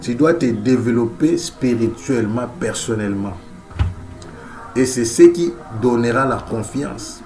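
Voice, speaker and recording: A man's voice: medium pitch, a little slow, darkish tone, soft background music. Man preaching with a head cold. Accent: Central African French. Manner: emphatic, repeats key phrases, frequent amen and alleluia. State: has a cold. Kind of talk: sermon.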